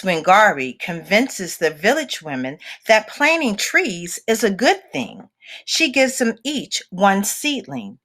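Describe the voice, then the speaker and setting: A woman reading a children's picture book aloud in a deliberate, expressive storytelling manner with dramatic pauses. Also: medium pitch.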